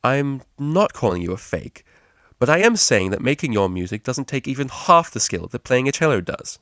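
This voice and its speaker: A man's voice: medium pitch, sing-song, normal speed, a bit bright, dry recording, pitched above the performer's own voice. Man acting out haughty female pony musician, storybook character, prim, formal, disdainful, dry recording. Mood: argumentative.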